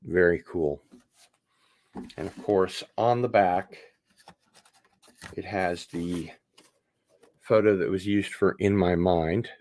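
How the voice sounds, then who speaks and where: A man's voice: low-pitched, takes it slowly, pauses often, darkish tone, no room sound. A man hosting a casual unboxing livestream, rambling along with wry asides. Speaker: host of an online show.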